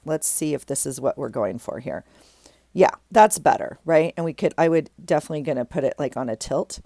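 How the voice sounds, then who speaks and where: Low, gravelly-voiced woman with a stuffy nose. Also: lively intonation, fairly quick, mellow, no room sound, clean studio sound. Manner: chatty, thinks aloud with many ums and restarts. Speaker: craft vlogger. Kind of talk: casual crafting chat.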